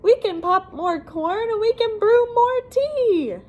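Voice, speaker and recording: A woman voicing a small, kindly storybook mouse, squeaky, reassuring and eager. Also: lively intonation, takes it slowly, darkish tone, muffled recording, full character voice. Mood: soothing, eager.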